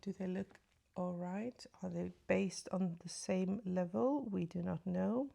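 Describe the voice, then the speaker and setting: A woman chatting casually through a makeup tutorial, speaking softly and often half-whispering, with a slight cold and a sore throat. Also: lowish voice, fairly even intonation, measured pace, creaky. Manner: soft, often half-whispered asides while applying makeup. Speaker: beauty vlogger. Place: at home in front of a mirror.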